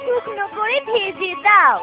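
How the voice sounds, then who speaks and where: Shrill, nagging cartoon village wife. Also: female voice, animated, a little slow. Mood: grumbling. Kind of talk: cartoon voice acting. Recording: muffled narrow audio with faint music.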